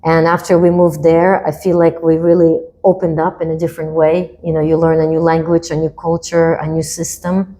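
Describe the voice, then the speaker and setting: Low warm-voiced woman from Kazakhstan. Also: level tone, moderate pace, few pauses. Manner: chatty, upbeat, laughs often. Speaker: hot yoga teacher, guest. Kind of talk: casual interview chat.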